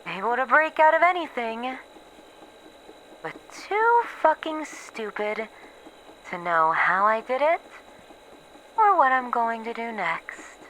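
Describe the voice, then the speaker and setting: A woman playing a bitter, weary researcher losing her memories, in a halting, sardonic, sighing monologue for an ASMR horror roleplay. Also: on the high side, big pitch swings, fairly bright tone, a little hiss or hum, exaggerated voice, low-quality recording. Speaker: voice actor in an audio roleplay.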